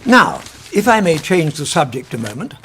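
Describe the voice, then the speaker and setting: An actor playing a kindly, logical old professor, speaking deliberately and formally in a radio drama. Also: male voice, fairly high pitch, sing-song, a little hiss or hum. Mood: polite, courteous. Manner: deliberate, formal, pedantic, gently exasperated.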